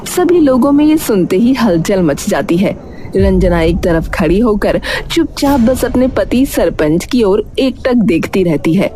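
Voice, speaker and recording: A woman narrates a cartoon story in a scripted, dramatic way. Her mid-range voice moves with lively intonation. Music plays clearly underneath. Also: mid-range voice.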